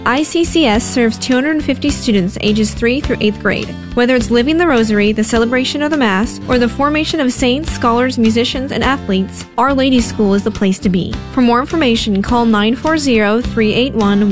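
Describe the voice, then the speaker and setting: A woman reads a radio ad in an inviting tone. Her voice sits slightly high, and clear music plays underneath. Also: normal speed, hardly any pauses. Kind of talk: radio ad read.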